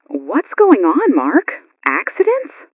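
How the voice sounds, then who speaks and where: A woman's voice: fairly high pitch, wildly swooping pitch, slow, husky, no echo, highs cut off, full character voice. A woman playing an alert, concerned morning radio news host in an audio drama. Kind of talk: acted radio broadcast drama.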